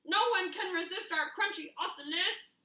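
A woman's voice: extremely high pitch, a little fast, sharp tone, voice pushed up. A woman voicing smug dinosaur chicken nuggets, pompous food monsters declaiming in a children's storybook. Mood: pompous challenge. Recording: roomy indoor echo.

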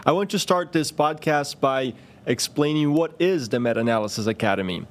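A man in his thirties hosting a podcast, explaining things in a clear, orderly and emphatic way into a close studio microphone. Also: a little high, moderate pace. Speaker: podcast host, cardiologist. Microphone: close desk microphone on boom arm.

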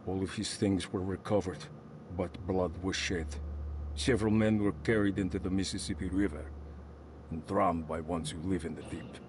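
An actor voicing a grim male sailor who reads out his letter slowly. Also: sits low, fairly even intonation, noisy background, natural voice. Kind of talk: scripted game voice-over.

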